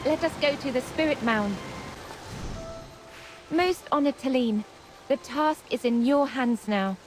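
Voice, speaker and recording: A reverent young woman guardian talks in a fairly high voice. Her manner is earnest and formally respectful, in fantasy game style. Music and rain sit behind her. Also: a little slow. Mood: reverent, resolved. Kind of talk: scripted fantasy game voice acting.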